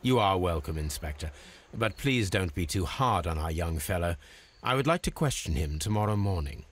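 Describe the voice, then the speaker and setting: A Victorian English gentleman detective with a low, very animated voice. He is precise, formal and supremely self-assured, probing as he speaks in the manner of a video game cutscene. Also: moderate pace, a little hiss or hum. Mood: calm, probing.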